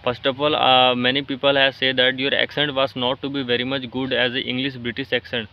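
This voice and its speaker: A man's voice: medium pitch, flat delivery, a little fast, dull audio. A man from Jharkhand with an Indian accent, whose first language is Hindi, giving a casual motivational talk on learning English with many fillers and restarts.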